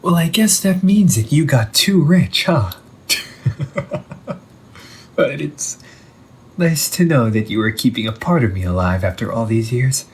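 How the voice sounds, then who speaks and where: Slow, expressive undead older brother, acted by a man. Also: medium pitch, breathy edge. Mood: wistful, touched. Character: undead older brother back from the sea, mangled, teasing, casual. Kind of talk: audio roleplay monologue to the listener.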